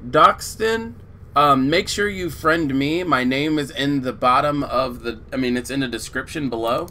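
A laid-back man streaming a video game, chatting and rambling to his viewers through a desk mic. His voice is getting weak and sits at an ordinary pitch. Faint noise sits in the background. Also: normal speed. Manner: relaxed, rambling, greeting viewers. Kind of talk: casual live-stream chat, reading viewer chat.

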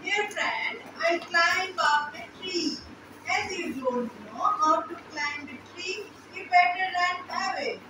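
Puppet-show boy traveller, very high voice, played by a woman, distant and noisy recording. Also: expressive, slow pace, heavily acted. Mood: urging. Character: young boy traveller lost in a forest. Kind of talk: puppet show storytelling for children. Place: indoor school room puppet stage. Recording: echoey room from far off, noisy.

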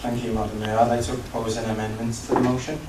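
A man's voice: mid-range voice, level tone, fast talker. A man serving as councillor, making a formal prepared debate speech in a large council chamber. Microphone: recorded from the back of the room. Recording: distant, echoey, noisy council chamber recording.

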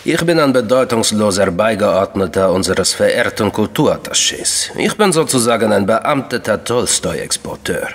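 An actor playing an enigmatic Russian official in a crime radio drama, courteous, deliberate and coolly menacing. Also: male voice, lowish voice, animated, in a large hall. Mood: courteous, guarded.

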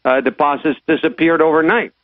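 A man's voice: on the high side, steady tone, fairly quick, no echo. Hesitant man on a tinny phone line. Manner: hesitant, many fillers. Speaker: radio panel guest. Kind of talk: talk radio discussion.

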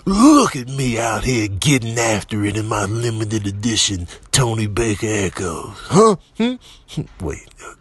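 Man, comic voiceover commentary, theatrical, mock-envious, drawn-out words, very lively pitch swings. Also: husky. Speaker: comedian doing voiceover reactions.

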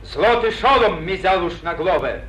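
An actor playing a boastful young hero of an old epic, declaiming verse with pathos in 1940s radio acting. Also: male voice, sits high, expressive, unhurried, fairly bright tone. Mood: commanding. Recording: echoey old radio recording.

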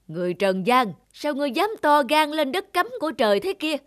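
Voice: high voice, sing-song, brisk, noisy background. An actor playing a stern, imperious old male sun god in a cartoon fairy tale. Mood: angry.

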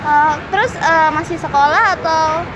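A woman's voice: very high-pitched, normal speed. A young woman with an Indonesian accent asking polite, hesitant questions as a student reporter in a mall food court, recorded on a phone. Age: young adult. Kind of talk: street interviews. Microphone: phone held up to speakers. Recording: loud crowd chatter and noise.

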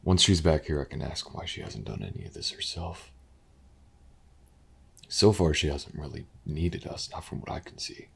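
Audio drama detective, played by a man, rock-bottom pitch, gravel-heavy fry, weary, skeptical, gruff yet earnest. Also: very animated, moderate pace, a bit bright, exaggerated voice. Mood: uneasy, brooding. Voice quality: gravelly, husky. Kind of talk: audio roleplay acting, intimate and hesitant.

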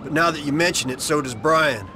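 Guy doing a jaded american biker boss, highish voice, blunt n sardonic, game cutscene, lots of street noise behind. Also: brisk, close to an everyday voice. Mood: weary, resolved. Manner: blunt, foul-mouthed, sardonic. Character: jaded, blunt outlaw biker gang leader. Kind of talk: video game cutscene acting. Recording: noisy, street and vehicle rumble behind.

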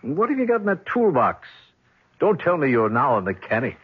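Sardonic boss, American, swooping delivery, husky, vintage radio drama. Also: male voice, a little high, wildly swooping pitch, moderate pace, heavily acted. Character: arrogant, dismissive businessman, male, sardonic and suspicious. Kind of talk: 1970s radio drama acting. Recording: dull vintage radio recording.

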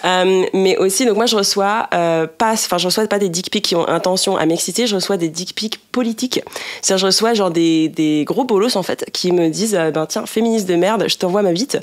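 A woman's voice: mid-range voice, a little fast. A young woman hosting a podcast in a casual, slangy, rambling and emphatic way. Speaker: podcast host. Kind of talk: casual chat. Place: small indoor podcast studio. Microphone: podcast microphone on a desk stand.

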